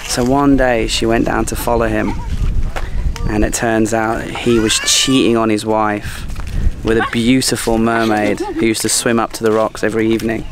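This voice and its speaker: A man's voice: on the low side, flat delivery, moderate pace. Man vlogging casually outdoors, noisy background. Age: 30s. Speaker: travel vlogger. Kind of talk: casual vlog storytelling to camera. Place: outdoors by a seaside sculpture park. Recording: clear outdoor background noise.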